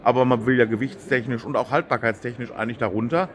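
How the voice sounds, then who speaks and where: A man's voice: mid-range voice, steady tone, fast talker, slight background noise. A man hosting a casual interview at a trade fair, chatty and friendly with lots of agreeing. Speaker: host. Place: exhibition hall.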